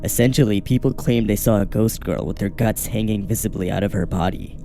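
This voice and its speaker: Low, mellow-voiced male horror narrator. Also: fairly quick. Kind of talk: scripted first-person horror narration. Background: faint music.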